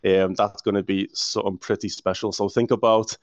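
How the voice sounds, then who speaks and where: A man's voice: fairly low pitch, little pitch movement, brisk, no room sound. A man with a Liverpool accent, a council official answering interview questions with enthusiasm and frequent restarts. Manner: enthusiastic, restarts words often. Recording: muffled dry audio.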